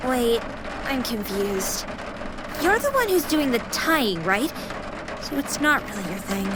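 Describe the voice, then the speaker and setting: A woman's voice: fairly high pitch, very animated, a little slow, very noisy. A woman playing a teasing, sassy young female AI companion in a video game, with a playful, mock-formal manner.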